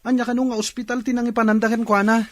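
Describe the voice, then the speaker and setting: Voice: mid-range voice, flat delivery, very fast, dry recording, natural voice. Radio drama role: performer as anxious, respectful young Ilocano worker, recorded dry and close.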